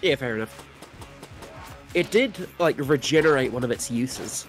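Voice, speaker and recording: A man's voice: mid-range voice, animated, measured pace, faint music behind. A man with a slightly stuffy, sniffly nose, chatting casually and jokingly as a guest co-commentator on a game. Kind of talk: casual joking chat over gameplay.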